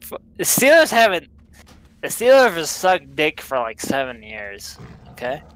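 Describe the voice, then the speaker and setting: A man's voice: fairly high pitch, wildly swooping pitch, unhurried, a bit bright, slight background noise, rough recording. A young man with a Southern American accent, drunk, chatting while he streams a game, rambling bluntly and swearing. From Southern United States. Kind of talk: casual chat while gaming.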